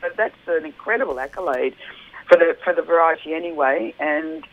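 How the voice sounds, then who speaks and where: A woman with a husky voice, a wine judge talking on the radio as a guest, enthusiastic and rambling with lots of fillers. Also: deep voice, animated, a little fast. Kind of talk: casual radio phone interview. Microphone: telephone. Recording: narrow tinny phone line.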